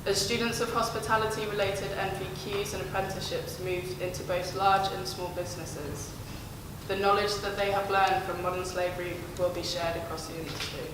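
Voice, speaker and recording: A woman reads out a formal prepared speech in a steady, measured way. She sounds far from the mic, in a large hall with a long echo. Clear background noise sits under her voice. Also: lowish voice. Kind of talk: prepared speech read aloud. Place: large hall, audience present. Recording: distant, echoey hall with noise.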